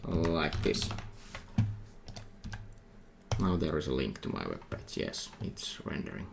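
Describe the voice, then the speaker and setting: Finnish accented guy, very low gravelly voice, slow halting screencast talk, keyboard clicks and faint hiss behind. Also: sing-song. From Finland. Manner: halting, thinking aloud while typing. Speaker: university lecturer. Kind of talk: screencast coding tutorial. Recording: faint noise with keyboard typing.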